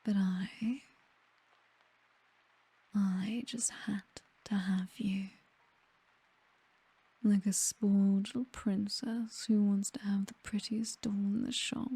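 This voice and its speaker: A woman's voice: medium pitch, no echo, heavily acted. Woman playing a whispering British vampire mistress. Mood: possessive, nostalgic. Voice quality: breathy, whispery. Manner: slow, teasing, softly commanding. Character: possessive female vampire mistress, teasing, doting and predatory. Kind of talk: intimate ASMR roleplay.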